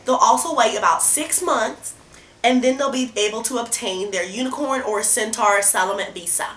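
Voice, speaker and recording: A woman's voice: a little high, moderate pace, fairly bright tone, close to an everyday voice. A young woman playing a brash, scornful, alarmist presidential candidate in a student debate video, blunt and folksy in her delivery. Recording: roomy echo, noticeable background noise.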